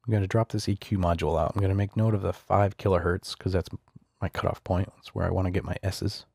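Deep, gravelly male tutorial instructor. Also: fairly even intonation, fast talker, darkish tone, no room sound, studio quality. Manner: casual, explanatory. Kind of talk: screencast tutorial narration. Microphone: close studio voice-over microphone.

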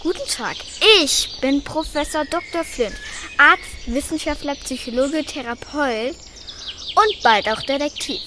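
A woman's voice: high voice, measured pace, noisy background. A woman voicing a pompous know-it-all doctor who wants to be a detective in a children's radio play. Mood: lecturing. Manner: pompous, lecturing.

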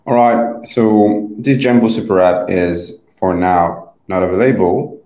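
A man in his thirties interviewing a guest over a video call, speaking haltingly with repeated words and "you know". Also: deep voice, warm tone. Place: room indoors. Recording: echoey room on a video call.

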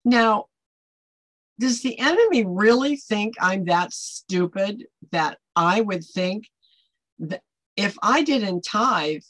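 A woman's voice: medium pitch, a bit bright, no echo. An older American woman hosting a Bible study, teaching informally to camera in an emphatic, conversational manner. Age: older, 60s or above. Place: small home office, indoors.

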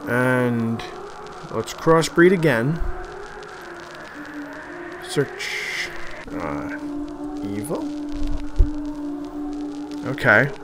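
A man giving casual, halting commentary as he thinks aloud over a screen recording, often trailing off. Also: mid-range voice, very animated, music in the background. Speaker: host of an art-making video series.

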